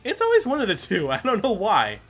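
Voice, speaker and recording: A man's voice: sits high, huge pitch swings, brisk, fairly bright tone, husky, soft background music. A man hosting a gameplay video with casual, rambling commentary, full of exclamations and mild swearing. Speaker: gaming video host.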